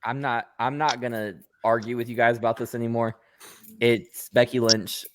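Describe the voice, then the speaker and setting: A man losing his voice from yelling, talking in a heated, profane way during a casual podcast chat. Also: mid-range voice, flat delivery, normal speed, background noise. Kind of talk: casual chat among friends.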